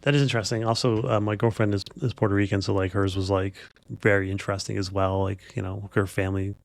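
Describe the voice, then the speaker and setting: Male podcast host, creaky voice, close dry sound, informal rambling chat. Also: fairly low pitch, level tone, quick pace, dry recording. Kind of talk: casual unscripted podcast chat.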